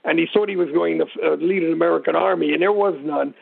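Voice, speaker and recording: Gravelly man on a phone-like line. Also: a little high, fast. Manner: rambling, digressive storytelling. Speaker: interview guest, military history expert. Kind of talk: informal historical explanation. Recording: muffled narrow phone-like line.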